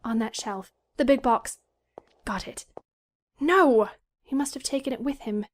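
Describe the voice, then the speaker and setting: High-pitched defiant teenage schoolgirl. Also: measured pace. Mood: tense. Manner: cheeky, self-talking, urgent. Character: defiant, anxious teenage schoolgirl on a secret mission. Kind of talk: full-cast audio drama acting.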